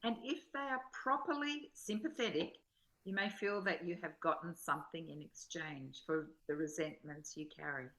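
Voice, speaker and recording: Bright, low-pitched woman in study. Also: normal speed, rough recording. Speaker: study partner reading aloud. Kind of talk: reading aloud from a spiritual text.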